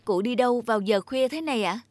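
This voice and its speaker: A woman's voice: on the high side, fast, a little mellow, dry recording, lightly acted. A woman playing a polite, caring young wife in a cartoon drama, speaking solicitously over music. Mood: concerned.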